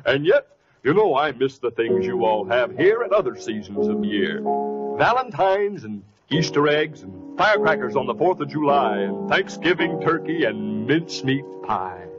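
A man's voice: mid-range voice, big pitch swings, normal speed, loud music behind the voice, natural voice. A man playing a jolly, sentimental old Santa Claus in a 1940s radio musical piece, on a muffled vintage recording.

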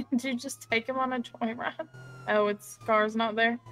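A woman's voice: a little high, fairly even intonation, unhurried, a bit bright, slight background noise. A woman bantering casually as a paramedic partner in an online roleplay game.

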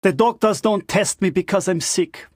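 A man's voice: sits high, normal speed, dry recording, studio quality. An actor playing an upbeat, vain, joking male special-forces operator, playful and self-assured, in video game voice lines. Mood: playful, cocky.

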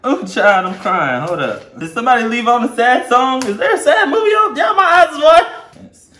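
A man's voice: very high-pitched, fairly quick. A man in his twenties with a Southern US accent, talking playfully and sassily to viewers in casual slang as he hosts a home cooking vlog. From Louisiana, United States. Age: 20s. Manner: playful, sassy, animated, slangy. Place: indoors in a home kitchen. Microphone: camera a step or two away. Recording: echoey kitchen, some background noise.

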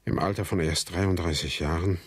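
An actor playing a grave son who narrates his family's history in a radio drama, sombre and deliberate. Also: low-pitched, steady tone, breathy edge, dry recording.

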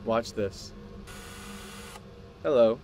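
An actor playing a proud, obsessive male scientist in an audio drama, speaking in a deliberate, grandiose way. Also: mid-range voice, noisy background. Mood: proudly demonstrating. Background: music.

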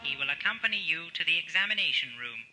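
An impersonal machine announcer voiced by a man in an audio drama. The voice is high and delivers formal, procedural notices, repeating them. Loud music sits behind it. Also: expressive, fast, natural voice, poor audio. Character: impersonal automated transit system announcer machine.

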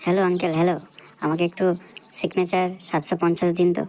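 A man's voice: high voice, little pitch movement, fast talker, darkish tone, a little hiss or hum, natural voice. A man voicing a dim, stubborn young male freeloader in a crude comedy cartoon, slangy, repetitive and argumentative. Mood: eager.

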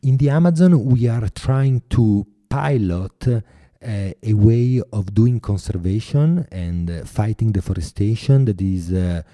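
Deep, dark-voiced Italian man. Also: measured pace, dry recording, clean studio sound. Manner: thoughtful, many hesitations and restarts. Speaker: guest. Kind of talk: podcast interview.

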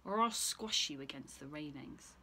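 Greedy picture-book pig, played by a woman: dropped pitch, menacing, demanding. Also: animated, normal speed, sharp tone, slightly rough, exaggerated voice.

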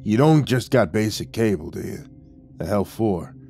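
Man voicing a gruff, low-growling slangy hitman. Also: lively intonation, normal speed, dark tone, faint music behind. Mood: nonchalant, needling. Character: gruff, slangy, heavyset hitman, lazy sports junkie. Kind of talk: audiobook character voice.

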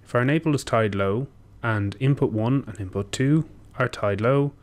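A young man giving a technical walkthrough, steady and explanatory with occasional ums and self-corrections, recorded at a desk in a small room. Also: medium pitch, normal speed. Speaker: engineer presenting a tutorial. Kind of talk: technical walkthrough over screen share.

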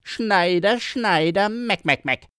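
A man's voice: sits high, animated, takes it slowly, a bit bright, smooth, dry recording, studio quality, voice pushed up, exaggerated voice. A man voicing cheeky boys in a storybook, jeering and bleating mockingly. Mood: mocking, gleeful. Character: cheeky, taunting young village boys, bleating like goats.

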